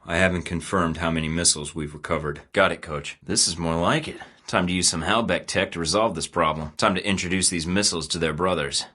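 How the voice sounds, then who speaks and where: A cocky secret agent, deep and husky. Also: male voice, fairly even intonation, a little fast, few pauses, pushed and bright, full character voice. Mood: wry. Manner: dry sarcastic quips. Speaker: video game protagonist voice. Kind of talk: game voice lines.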